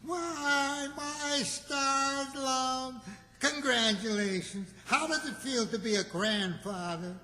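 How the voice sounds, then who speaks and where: A man's voice: very high-pitched, takes it slowly, sharp tone, heavily acted. A man playing a cheerfully oblivious, rambling small-town Southern character in old-time radio comedy, chuckly and folksy. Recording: echoey hall, long reverb.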